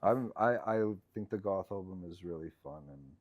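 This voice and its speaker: A man with a very deep, low voice chats casually as a guest in an interview. He talks in halting bursts, leaning on fillers and letting his thoughts trail off. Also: animated, no room sound, muffled recording. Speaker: musician guest.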